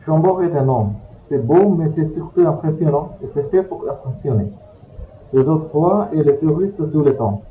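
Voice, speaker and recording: A man's voice: on the high side, lively intonation, moderate pace, a little hiss or hum. A man teaching a language by reading short passages aloud, then repeating them word by word. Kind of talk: slow read-aloud lesson for learners. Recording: echoey, dull lo-fi recording.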